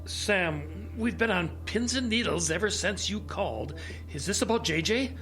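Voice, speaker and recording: Man acting anxious, earnest, higher-voiced man. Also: expressive, normal speed, sharp tone, faint music behind. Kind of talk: audio drama acting.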